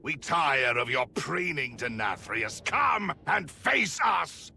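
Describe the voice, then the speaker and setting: An actor playing a noble, resolute vampire prince in a video game, speaking in a grave, formal, commanding way. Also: male voice, a little high, sing-song, takes it slowly, vocal fry, bright, forceful tone, a little hiss or hum, full character voice. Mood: stern defiance. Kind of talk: video game drama acting.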